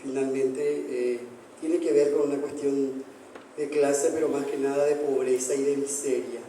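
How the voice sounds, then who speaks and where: A man from Paraguay gives reflective academic panel remarks in a flat, very dark voice. He sounds distant and echoey, picked up from far off in a large hall with faint noise. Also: medium pitch. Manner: reflective, academic, with filler pauses. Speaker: anthropologist panelist. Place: large hall, book presentation.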